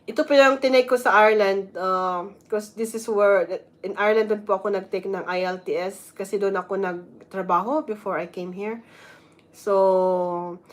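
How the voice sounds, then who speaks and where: A woman's voice: mid-range voice, fairly even intonation, normal speed. A woman with a Filipino accent, a nurse giving casual advice in a vlog, switching between Tagalog and English and reading some parts aloud. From Philippines. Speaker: vlogger, nurse.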